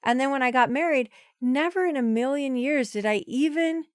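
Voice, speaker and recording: A woman's voice: high voice, normal speed, clean tone, no room sound, studio quality. A woman in her forties, a podcast guest, talking in a chatty, emphatic way with many restarts. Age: 40s. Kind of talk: casual conversational interview.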